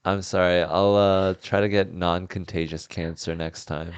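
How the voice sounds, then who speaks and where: A man hosting a podcast, improvising comedy scenes in a deadpan way and laughing often. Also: low voice, moderate pace, clean tone, no room sound, lo-fi sound. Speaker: podcast host. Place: house.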